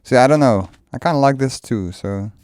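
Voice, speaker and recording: A man's voice: mid-range voice, normal speed, darkish tone, dry recording, studio quality. A man whose first language is Dutch, casually commenting while he builds in a game, musing aloud and laughing often. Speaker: let's play creator.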